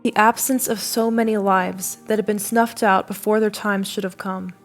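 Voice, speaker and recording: A woman giving scripted dramatic narration for an audio drama, telling the story in a measured, solemn way. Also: mid-range voice, steady tone, soft background music.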